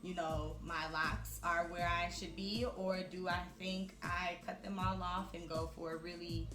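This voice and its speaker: A woman in her thirties talking to camera in a reflective, chatty way, often pausing with fillers. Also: on the low side, flat delivery, fairly bright tone. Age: 30s. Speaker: vlogger. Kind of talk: casual talk to camera. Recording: echoey room with music behind.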